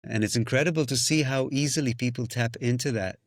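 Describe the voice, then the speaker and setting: A man's voice: medium pitch, a little fast, no room sound. A man whose first language is Hebrew speaks as a guest musician on a podcast. His mid-range voice is thoughtful and searching, often restarting phrases and breaking into sung syllables. The recording is dry and close. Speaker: musician and singing-circle facilitator. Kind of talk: reflective podcast conversation.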